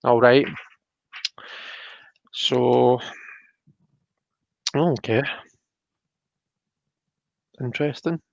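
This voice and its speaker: A man's voice: medium pitch, very slow, gravelly, long pauses, a little mellow, no room sound, lo-fi sound. A Scottish man hosting a casual live stream, informal and thinking aloud as he talks viewers through a reading. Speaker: live stream host, tarot reader.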